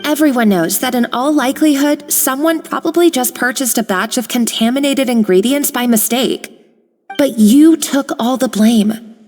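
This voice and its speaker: An actress playing a loyal young kitchen worker who speaks in an earnest, worried, outspoken way. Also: female voice, high-pitched, moderate pace, darkish tone, faint music behind. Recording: reverb.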